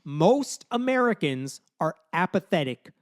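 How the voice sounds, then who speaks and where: A man's voice: sits high, very animated, a little slow, dry recording, clean studio sound. A man co-hosting a podcast, walking through poll numbers and arguing his points in casual chat. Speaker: podcast host.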